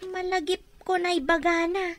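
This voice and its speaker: A woman playing an excitable, easily offended village gossip with an Ilocano accent in a comedic radio drama. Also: very high-pitched, moderate pace.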